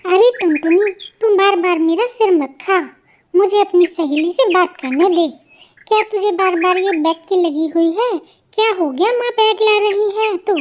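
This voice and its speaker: A woman's voice: very high-pitched, moderate pace. A woman voicing a lazy older sister bird, irritable and dismissive, in a cartoon story. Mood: annoyed.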